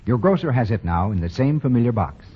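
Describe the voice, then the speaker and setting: A male announcer in a 1940s radio commercial, selling with a lively, sing-song rise and fall and a slightly husky voice. The sound is dull and muffled like an old broadcast. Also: mid-range voice, quick pace. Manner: bright, persuasive sales delivery.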